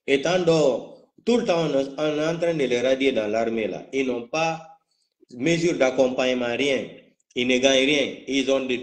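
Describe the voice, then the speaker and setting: Male political commentator, Guinean French accent, mid-range voice, combative and spontaneous, repeats himself for emphasis. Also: medium pitch. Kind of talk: live political talk, spontaneous.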